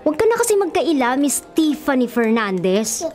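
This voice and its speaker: A woman's voice: sits high, sing-song, moderate pace, darkish tone. An actress playing a frank, sensible young woman in a Filipino radio drama. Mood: firm, accusing.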